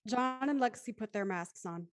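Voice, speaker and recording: A woman's voice: on the high side, lively intonation, moderate pace, no echo, clean studio sound, lightly acted. A woman narrating the scene directions of a scripted drama reading.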